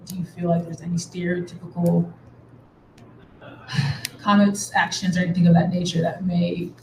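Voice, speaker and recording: A woman moderating a panel, asking a long question in a measured way. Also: lowish voice, flat delivery, creaky. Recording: echoey room with background noise.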